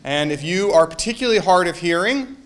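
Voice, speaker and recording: Male minister, fairly high voice, chatty sermon with jokes and audience quizzes. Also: animated, fairly quick, a bit bright. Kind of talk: casual sermon to a congregation.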